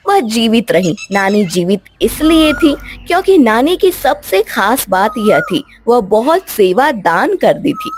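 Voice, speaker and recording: A woman's voice: on the high side, a little hiss or hum. A woman storyteller reading a scripted children's story as narration.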